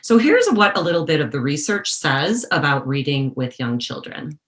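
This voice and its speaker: Woman workshop presenter, low register, deliberate explanatory delivery of prepared educational material. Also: sing-song, reverberant.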